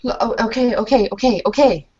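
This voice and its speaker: A woman's voice: fairly high pitch, fairly quick, warm tone, slightly rough. Flustered woman repeating herself. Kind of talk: in-character tabletop roleplay. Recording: dull lo-fi recording.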